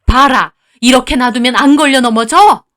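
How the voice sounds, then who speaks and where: A woman's voice: high voice, normal speed, a bit bright. A woman voicing a furious, contemptuous older mother-in-law in a dramatized story, scolding harshly. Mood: furious contempt. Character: furious, spiteful older mother-in-law berating her daughter-in-law.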